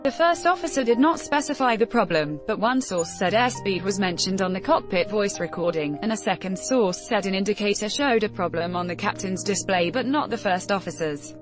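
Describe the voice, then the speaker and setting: A woman's voice: medium pitch, lively intonation, a little fast, few pauses. A woman reading a scripted news report aloud as narrator. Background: background music.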